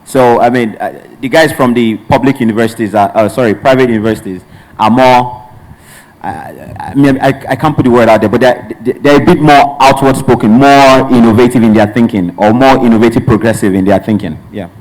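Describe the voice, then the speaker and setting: A man's voice: medium pitch, fairly quick, darkish tone, poor audio. Earnest Nigerian man on a conference panel. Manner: earnest, discursive, with restarts. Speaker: panelist, hub representative.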